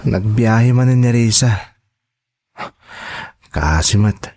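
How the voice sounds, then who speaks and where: Low-voiced earnest Ilocano man acting a devoted son. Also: drawn-out words, a little mellow. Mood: hopeful, reflective. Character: devoted, trusting young Filipino son and partner. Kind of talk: Ilocano radio-style drama acting.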